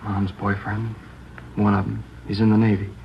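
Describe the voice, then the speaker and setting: An actor playing a shaken teenage boy whose mother has just died, halting and upset, his voice rising at the worst moments in an old radio drama. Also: lowish voice, monotone, vocal fry, slight background noise. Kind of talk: 1950s radio drama acting. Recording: dull lo-fi old radio broadcast.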